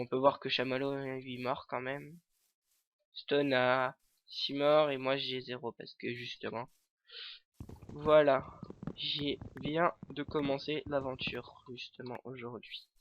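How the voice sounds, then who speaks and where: A man's voice: medium pitch, steady tone, unhurried, a bit bright, lo-fi sound. A man hosting a let's play with a stuffy nose from a cold, talking through his game in hesitant, filler-heavy rambles. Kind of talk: casual gameplay commentary.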